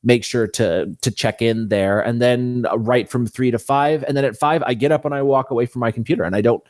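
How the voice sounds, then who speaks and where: A man's voice: mid-range voice, fairly quick, darkish tone, no room sound. Man explaining casually, in a dry-sounding space. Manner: explanatory, thinking aloud. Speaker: interview guest, author. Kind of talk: casual conversational interview.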